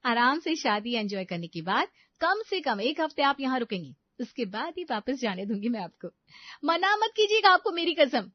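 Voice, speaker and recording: A woman acting a young daughter-in-law in a family story, with a fairly high voice. She is cheerful, deferential and eager to please, reassuring her elders. The recording is close and dry. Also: sing-song, moderate pace, no room sound, lo-fi sound. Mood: delighted.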